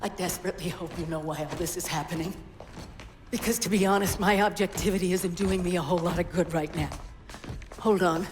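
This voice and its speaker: A woman's voice: on the low side, fairly even intonation, a little fast, darkish tone, natural voice. A woman playing an anxious female scientist on a space station, flustered and hesitant. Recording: game cutscene, long reverb, music.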